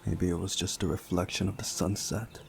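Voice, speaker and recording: A man reading a visual novel aloud as a playthrough host, in a measured, deliberate way with pauses. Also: sits low, flat delivery, vocal fry, darkish tone, slight background noise. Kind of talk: scripted narration of a visual novel with commentary.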